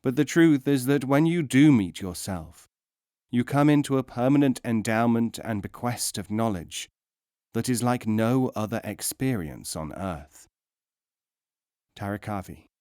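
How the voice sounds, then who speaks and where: A man narrates an audiobook of philosophical teaching tales in a medium-pitched voice. His delivery is measured and instructive, with emphasis placed on key words. The sound is dry, with hardly any room on it. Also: lively intonation, darkish tone, smooth, dry recording.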